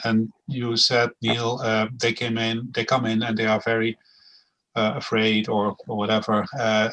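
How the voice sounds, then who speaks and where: A man's voice: mid-range voice, bright, forceful tone, poor audio. A man with a Dutch accent moderating questions in a webinar, speaking hesitantly with many uhs and restarts over a video call. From Netherlands.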